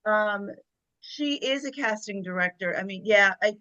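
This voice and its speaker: A woman's voice: mid-range voice, measured pace, sharp tone, dull audio. A woman, a guest actress on a video call, chatting casually and stressing her points with repeated phrases. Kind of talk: casual chat.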